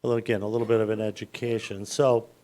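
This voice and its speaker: A man with a loud voice, working as a municipal finance advisor, walks a city council through a bond presentation step by step in a council chamber. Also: lowish voice, level tone, fast.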